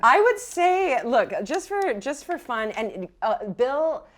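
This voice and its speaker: A woman running a tabletop game as game master, improvising the narration in a halting, thinking-aloud way. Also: high voice, sing-song.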